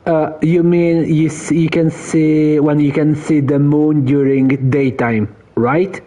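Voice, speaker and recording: Italian guy streaming, slow n mellow, answers chat, hunts for words. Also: on the high side, little pitch movement. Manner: explains slowly, answering viewers, searching for words. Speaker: game streamer. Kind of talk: casual live stream chat.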